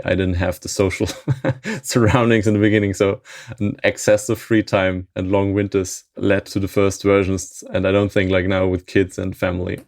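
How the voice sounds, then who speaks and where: A man in his thirties, a software maintainer being interviewed, talking in a thoughtful way and chuckling often mid-sentence. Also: low voice, gravelly, dry recording, studio quality. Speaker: open source maintainer, interview guest. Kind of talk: casual interview chat.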